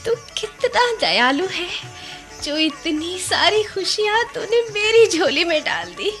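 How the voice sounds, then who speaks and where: A woman playing an emotional, doting old grandmother in a radio drama, with slow, pleading delivery. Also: very high-pitched, sing-song, over music, exaggerated voice. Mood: joyful blessing. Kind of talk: Hindi radio drama acting.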